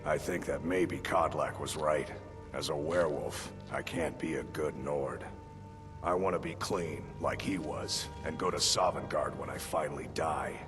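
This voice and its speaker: Very deep-voiced brawny warrior. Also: male voice, measured pace. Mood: solemn, earnest. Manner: blunt, plain-spoken, short simple sentences. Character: earnest, simple, brawny werewolf warrior. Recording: reverberant hall, music behind. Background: game music.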